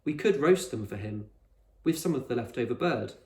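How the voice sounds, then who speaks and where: Man reading as a gentle, earnest young girl, echoey. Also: medium pitch, expressive, normal speed, lightly acted. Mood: warm, curious. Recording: echoey room.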